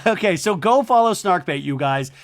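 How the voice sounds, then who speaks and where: A man's voice: high voice, lively intonation, normal speed. A man hosting a casual pop culture podcast, talking chattily with frequent restarts and self-corrections. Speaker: podcast host.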